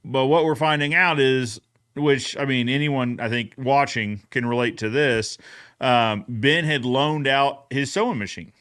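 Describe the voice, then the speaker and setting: A man hosts a relaxed recap show in an everyday mid-pitched voice. He banters in a folksy, joking way and likes to repeat a phrase for effect. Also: unhurried, clear, resonant tone.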